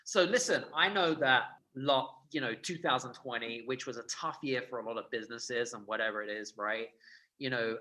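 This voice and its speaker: Upbeat man hosting with a bright voice. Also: medium pitch, low-quality recording. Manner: upbeat, quick affirmations. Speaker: podcast host. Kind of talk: casual interview.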